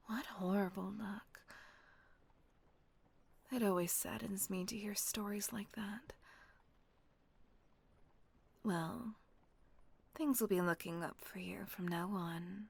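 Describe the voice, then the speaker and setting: A woman playing a kind, refined vampire mistress in an intimate roleplay audio drama, speaking in a gentle, soothing, husky near-whisper. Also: medium pitch, a little hiss or hum. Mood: warm, sympathetic. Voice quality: breathy, husky near-whisper with creaky edge. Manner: gentle, genteel, unhurried, soothing.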